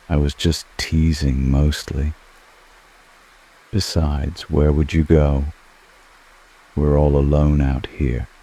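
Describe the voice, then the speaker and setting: Guy doing an ancient vampire for asmr, super low dark voice, slow n intimate, formal, seductive, kinda lonely. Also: rough recording. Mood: teasing, seductive. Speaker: ASMR roleplay performer. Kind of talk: scripted ASMR roleplay.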